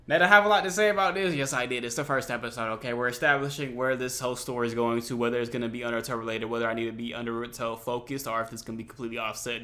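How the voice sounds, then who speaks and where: Bright, forceful man doing casual reaction commentary. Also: mid-range voice. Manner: slangy, animated, talks to viewers. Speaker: reaction video host.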